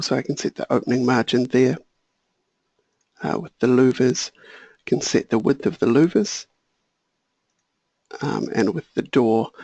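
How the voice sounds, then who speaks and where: A man walking through a software tutorial, thinking aloud and correcting himself, a little hoarse. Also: medium pitch, dry recording. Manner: relaxed, thinking aloud, small self-corrections. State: slightly hoarse, clearing throat. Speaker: software trainer. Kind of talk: screen-share software tutorial.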